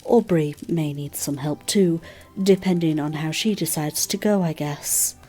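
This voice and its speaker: A woman playing a gentle, modest, hopeful character in an audio drama, speaking thoughtfully and with some hesitation. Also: low voice, measured pace, breathy edge, soft background music. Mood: tentative, hopeful. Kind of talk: scripted audio drama dialogue.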